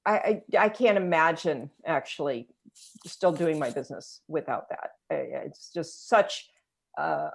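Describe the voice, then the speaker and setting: A woman hosting a conversational interview, agreeable, with halting, searching phrasing. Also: low voice. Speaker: interview host, entrepreneur.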